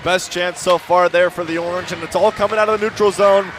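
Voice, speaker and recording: A male color commentator with a fairly high voice analyzes live ice hockey. Clear arena noise sits behind his voice. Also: steady tone, fairly quick, a bit bright.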